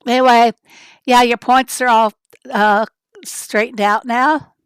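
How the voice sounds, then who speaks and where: A woman hosting a casual livestream, chatty and rambling as she greets viewers from the chat, her voice raspy and hoarse from a summer cold. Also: a little high, steady tone, takes it slowly, no room sound, studio quality. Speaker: livestream host.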